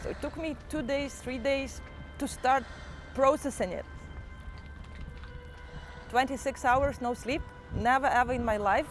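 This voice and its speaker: A young woman from Ukraine with a Ukrainian accent, a motivational teacher calmly and earnestly reflecting in her own words. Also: high voice, music in the background. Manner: calm, earnest and measured, with small restarts. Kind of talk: personal first-person reflection.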